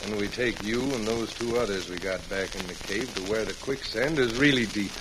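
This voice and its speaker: A man playing a rough, menacing outlaw gang leader in an old-time radio western, loud and gloating. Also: on the low side, brisk, lightly acted. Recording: hissy, crackly old radio recording.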